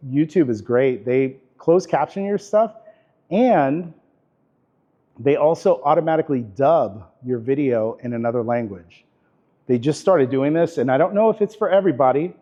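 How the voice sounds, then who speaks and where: A man teaches a class to a room of students, casual and conversational, dropping ums as he goes. His voice is mellow and unforced. The recording has a dull, lo-fi sound. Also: mid-range voice. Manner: relaxed, chatty, with frequent fillers. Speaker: workshop instructor. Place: indoor workshop in a camera store.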